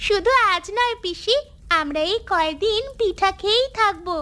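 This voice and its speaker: A woman voicing an excitable little grandchild in a cartoon, eager and sweetly pestering. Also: very high-pitched, normal speed. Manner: eager, pleading, repeats phrases. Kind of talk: Bengali cartoon voice acting. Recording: music behind the voice.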